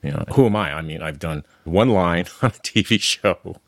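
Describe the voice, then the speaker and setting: A middle-aged man from New York City with no regional accent, calmly telling stories as an interview guest. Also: low-pitched, normal speed, creaky, no echo. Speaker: interview guest, amateur actor. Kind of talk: conversational interview.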